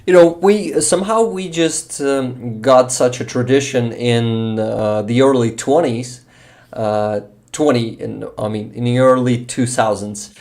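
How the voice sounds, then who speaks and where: A man's voice: mid-range voice, unhurried, a little mellow. A man from Ukraine with a Ukrainian accent, hosting a casual chat and laughing easily. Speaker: host.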